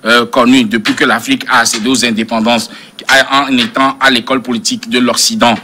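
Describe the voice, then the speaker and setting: A man's voice: mid-range voice, flat delivery, a little fast, pushed and bright, slight background noise. A man speaking as a panel analyst in a political debate, professorial and long-winded with learned references.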